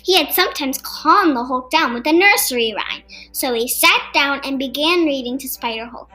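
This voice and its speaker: A woman narrates a children's story in a high-pitched voice. She reads from a script as a storyteller for young listeners. Soft music plays behind her. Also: lively intonation, moderate pace. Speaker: storybook reader for a podcast. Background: background music.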